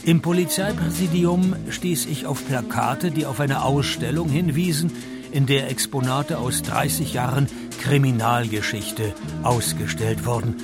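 An actor playing a sardonic, world-weary private detective in a radio play, with a gravelly voice and dry, deadpan delivery. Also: male voice, mid-range voice, measured pace, over loud music, natural voice. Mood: wry narration. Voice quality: gravelly, creaky. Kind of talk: detective radio play acting and first-person narration.

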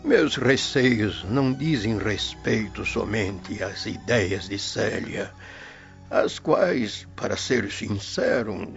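An actor playing a worried older Roman father who speaks in a gravelly half-whisper, in a radio drama. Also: fairly low pitch, slow. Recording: muffled audio with background music.

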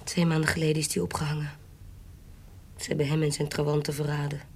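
A husky, shrewd adventuress. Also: female voice, sits low, level tone, fast talker, a little mellow. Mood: guarded, cool. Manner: guarded, cool, commanding. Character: shrewd, defiant adventuress, forger and courtesan posing as noble. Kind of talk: audio drama acting in Dutch period piece.

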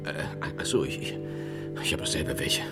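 A man playing a dazed, eccentric medieval theologian in a radio drama, halting and stammering, with grave whimsy. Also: over loud music, natural voice. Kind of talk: German radio drama acting.

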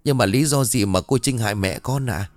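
A man's voice: mid-range voice, fairly quick, soft background music, natural voice. A man voicing a young woman, an earnest and desperate daughter who speaks politely and pleads respectfully.

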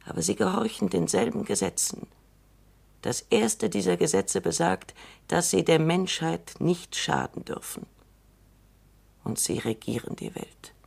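An actress playing Doctor Calvin, a shrewd, dry and assured elderly woman robot psychologist, speaking in a measured, knowing way in a radio drama. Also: lowish voice, big pitch swings, creaky, darkish tone, slightly rough, full character voice. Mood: wry.

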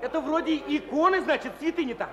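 A man's voice: very high voice, fast. A man acting a simple, sentimental, thrifty soldier in a radio play, with a rustic, naive way of talking. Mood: moved, naive. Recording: echoey hall with crowd noise.

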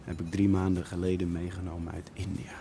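An actor playing a laid-back hippie squatter in a radio drama, drowsy and casual. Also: male voice, low voice, completely flat delivery, fairly quick, dark tone, a little hiss or hum. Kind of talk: Dutch radio drama acting.

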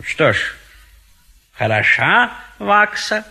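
Comic stage bachelor: indecisive, ruminating gentleman, slow delivery with big melodic swings. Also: high-pitched, very animated, gravelly, breathy edge, heavily acted. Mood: fretful musing. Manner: hesitant, ruminating, repeats himself. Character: fussy, indecisive gentleman bachelor pondering marriage. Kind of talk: theatrical Russian classic comedy audio play.

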